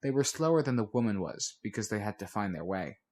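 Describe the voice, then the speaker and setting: A man's voice: lowish voice, sing-song, quick pace, darkish tone. Male audiobook narrator, scripted fiction.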